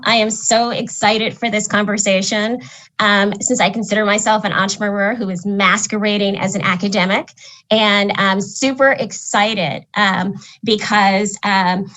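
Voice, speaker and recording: A woman's voice: mid-range voice, fairly bright tone, poor audio. A woman professor moderating a panel, chatty and enthusiastic. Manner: enthusiastic, chatty, frequent fillers.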